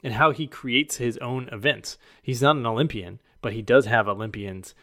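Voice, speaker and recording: A man's voice: mid-range voice, normal speed, sharp tone, no room sound, clean studio sound. A man hosting a podcast, introducing and interviewing his guest. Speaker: podcast host.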